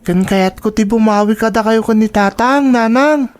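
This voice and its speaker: A woman's voice: fairly high pitch, moderate pace, a little mellow, close to an everyday voice. A woman with an Ilocano Filipino accent playing a dutiful, anxious student in an audio drama. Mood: apologetic. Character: dutiful, anxious student child devoted to struggling parents.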